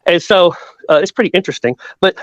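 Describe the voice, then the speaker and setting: A man's voice: high voice, quick pace, dry recording, highs cut off. A man giving casual conversational commentary, often stopping and restarting his phrases.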